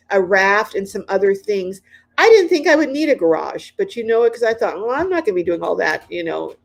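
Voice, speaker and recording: A woman in her late sixties hosting a casual livestream, reading viewers' comments aloud and chatting back, often repeating herself and pausing with ums. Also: medium pitch, a little mellow. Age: 60s. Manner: chatty, repeats phrases, frequent ums and restarts. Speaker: livestream host. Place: inside her van or RV.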